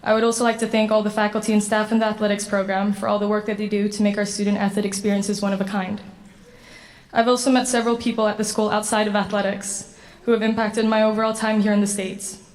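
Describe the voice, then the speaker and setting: A young woman from Sweden delivers a prepared award acceptance speech in an earnest, steady way. Her voice sits at a middle pitch and stays fairly flat, with little rise and fall. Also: quick pace. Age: 20s. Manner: earnest, steady, slightly read-aloud delivery. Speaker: award recipient giving a speech.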